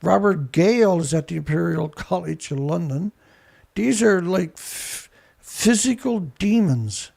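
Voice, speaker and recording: A man hosting a ranting commentary show, with a rough voice, blunt, angry and swearing. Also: high-pitched, expressive, unhurried, a little mellow. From Canada. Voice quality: rough, gravelly voice. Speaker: show host.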